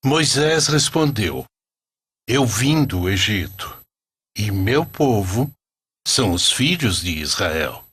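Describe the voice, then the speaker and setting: A man narrating a scripted religious story as a storyteller, in an expressive, deliberate way. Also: fairly low pitch, slightly rough, dry recording.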